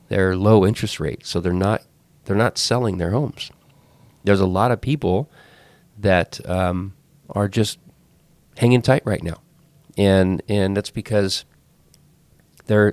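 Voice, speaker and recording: Low-voiced congested man hosting a podcast. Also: no echo. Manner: rambling, self-correcting. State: congested head cold. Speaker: podcast host. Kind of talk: casual talk.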